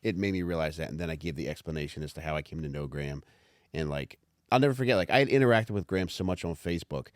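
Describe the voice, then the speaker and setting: A man's voice: rumbling low, rapid-fire, no echo, clean studio sound. A man talking candidly and informally on a podcast, rambling a little and reading out his own written commentary. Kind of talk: casual podcast chat with read-aloud commentary.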